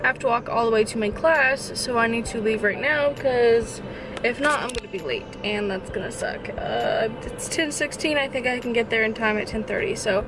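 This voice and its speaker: A woman's voice: on the high side, normal speed, background noise. A tired young woman chatting casually to a handheld camera about her day, from her car and her home. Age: young adult. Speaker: vlogger. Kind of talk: casual vlog chat. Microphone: phone or camera held close.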